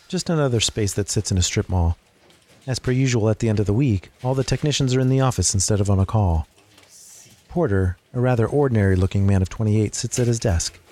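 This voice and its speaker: A man's voice: fairly low pitch, a little mellow. A man reading scripted narration for an audio drama. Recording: faint guitar music behind.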